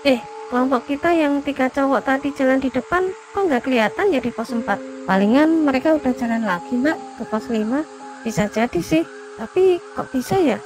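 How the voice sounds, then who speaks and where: A woman acting as a young female mountain hiker in a cartoon, friendly and chatty. Music and chirping insects sit clearly behind the talk. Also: on the high side, close to an everyday voice, rough recording. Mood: curious.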